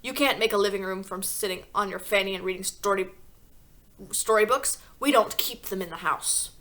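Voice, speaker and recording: A woman voicing a rude, impatient little man who is the girl's father, speaking gruffly and loudly. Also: medium pitch, normal speed, lightly acted. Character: rude male father, small ratty man.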